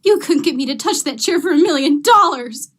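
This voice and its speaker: A woman's voice: sits high, expressive, fairly quick, fairly bright tone. A young woman playing a frightened, hysterical female accomplice in vintage radio drama style, stammering and pleading. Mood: fearful. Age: young adult. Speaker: student actor.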